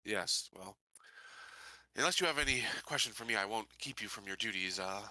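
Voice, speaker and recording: A man playing a self-important, officious colony security officer in a tabletop game, speaking in halting, restarted phrases. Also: on the low side, animated, pushed and bright, dry recording, poor audio. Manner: halting, officious, full of repeated starts. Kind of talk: improvised in-character roleplay.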